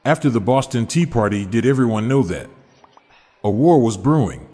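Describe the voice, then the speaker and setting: A man's voice: mid-range voice, big pitch swings, normal speed, mellow, big-room sound, soft background music. A man hosting a podcast, reading dad jokes in an upbeat way and chuckling at his own punchlines. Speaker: podcast host.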